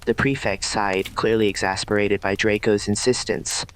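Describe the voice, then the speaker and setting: A man's voice: lowish voice, steady tone, moderate pace. A man reading scripted narration for an audio story.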